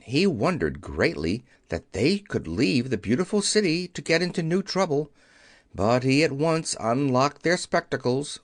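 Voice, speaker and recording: A man's voice: a little high, no echo, clean studio sound. A man narrating a children's story from a script, as in an audiobook.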